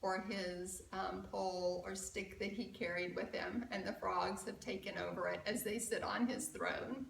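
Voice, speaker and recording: A woman reading a picture book aloud to children in an expressive, engaging way. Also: medium pitch, measured pace, creaky, hardly any pauses, a little hiss or hum. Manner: expressive, asks the young viewers questions. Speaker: children's storyteller at a church story time. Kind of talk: animated read-aloud for children. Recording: roomy, from a distant mic.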